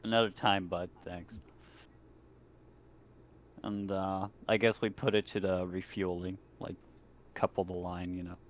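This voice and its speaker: A man's voice: low voice, level tone, measured pace, pauses often. A man playing a tabletop game, casually describing what his character does.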